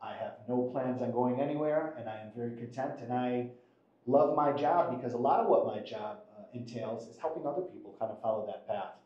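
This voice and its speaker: A middle-aged American man giving measured, explanatory interview answers as an attorney, pausing between phrases. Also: medium pitch, a little mellow, dull audio. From Buffalo, western New York, United States. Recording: very echoey room.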